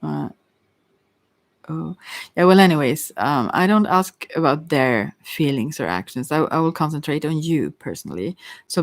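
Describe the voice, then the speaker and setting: A casual tarot reader speaks in a medium-pitched, soft voice that sometimes slips into whispering. The delivery is hesitant and rambling, as if thinking out loud. The sound is dry, with no room echo. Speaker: tarot reader hosting a live reading. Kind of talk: casual intuitive tarot reading, answering listeners' questions.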